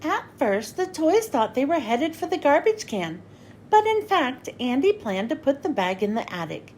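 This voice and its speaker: A woman narrating a children's storybook as a read-aloud host, with expressive, clearly phrased delivery. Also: sits high, moderate pace, a little hiss or hum.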